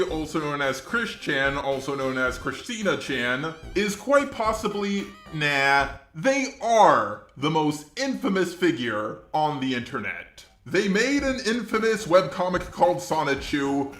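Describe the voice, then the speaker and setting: A man's voice: a little high, a bit bright, roomy sound. A man hosting an internet commentary video, reading a scripted narration. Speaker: commentary channel host.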